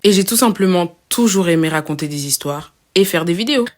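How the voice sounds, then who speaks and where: A woman's voice: fairly low pitch, expressive, a little fast. A woman in her twenties from Belgium, introducing herself to camera in a chatty, playful, self-mocking way. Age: 20s. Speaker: YouTuber.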